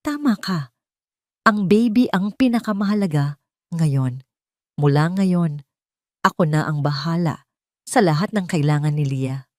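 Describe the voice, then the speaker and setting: Woman voicing a gentle, meek betrayed wife. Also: low-pitched, animated, unhurried, long pauses, dry recording, studio quality. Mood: meek, apologetic. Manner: gentle, submissive, sweet-toned. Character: outwardly meek, soft-spoken betrayed wife, secretly resolute.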